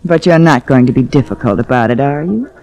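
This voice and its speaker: Lively, coy radio socialite. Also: female voice, very deep voice, a little fast. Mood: pleading. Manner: coy, teasing, languid. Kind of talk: 1940s radio drama acting. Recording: restored vintage 1940s radio recording.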